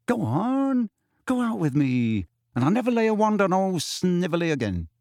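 A brash, arrogant teenage schoolboy, acted out by a man reading an audiobook. He is high-pitched and very animated, swaggering and taunting, with a close, dry sound. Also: moderate pace, no room sound. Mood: cocky pleading. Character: cocky, arrogant, showy teenage schoolboy bully.

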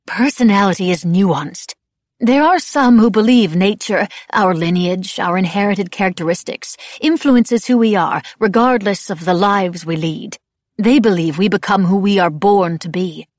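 A woman's voice: mid-range voice, normal speed, no echo, clean studio sound, natural voice. A woman voicing a stern, irritable older noblewoman and witch in an audiobook, haughty and emphatic as she lectures. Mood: haughty, lecturing.

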